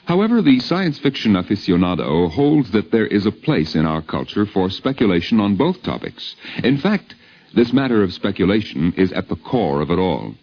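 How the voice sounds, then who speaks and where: A man's voice: fairly low pitch, sing-song, normal speed. A man narrating a scripted 1950s radio documentary in a polished, formal style with a wry literary turn. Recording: dull lo-fi 1950s radio recording.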